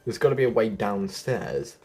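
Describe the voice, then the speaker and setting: A man's voice: on the low side, very animated, moderate pace, mellow, husky. A man giving a casual, chatty walkthrough of a video game, often thinking aloud as he guides viewers. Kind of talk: casual gameplay walkthrough commentary.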